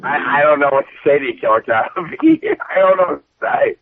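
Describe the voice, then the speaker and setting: A high-energy man with a booming voice, co-hosting a casual wrestling recap and swearing freely. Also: huge pitch swings, a little fast, dry recording. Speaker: podcast co-host. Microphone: phone call. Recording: dry tinny phone line.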